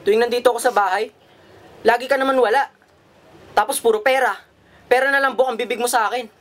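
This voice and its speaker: A man's voice: very high-pitched, fairly even intonation, fast talker, a bit bright. A man acting a defensive, aggrieved migrant-worker husband in a radio drama. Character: defensive, aggrieved husband back from working abroad. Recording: noisy amateur radio drama recording.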